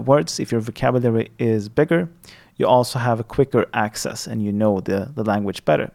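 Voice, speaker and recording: A man's voice: medium pitch, creaky, darkish tone. A man in his thirties from Sweden with a Swedish accent, speaking English as a second language in a hesitant casual monologue full of fillers and restarts. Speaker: linguistics student.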